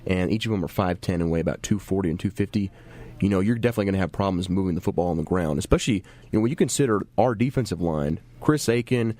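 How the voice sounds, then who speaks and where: A man's voice: deep voice, fast, dark tone. A man discussing football as an analyst on a sports talk show, in a conversational explanatory way.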